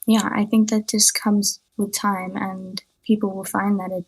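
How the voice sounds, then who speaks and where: A woman's voice: mid-range voice, level tone, unhurried, husky. A woman from South Africa, a psychology student, answering interview questions over a remote call in a sincere, reassuring way. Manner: sincere, reassuring, laughs lightly.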